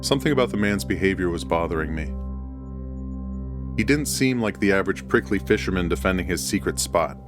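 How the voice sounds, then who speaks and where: A man reading a first-person horror story in a measured, deliberate storytelling manner. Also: low-pitched, animated. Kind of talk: first-person scripted narration. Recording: music bed under the voice. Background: music.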